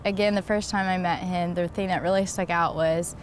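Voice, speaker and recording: A woman's voice: lowish voice, fairly even intonation, brisk, slight background noise. A young adult woman telling her own love story in a casual, personal way.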